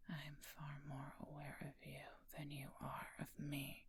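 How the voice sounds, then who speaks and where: A woman playing a wounded, protective female werewolf knight in an intimate audio roleplay, speaking in a breathy, husky, gravelly whisper. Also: low-pitched, completely flat delivery, unhurried, full character voice. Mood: wry, teasing.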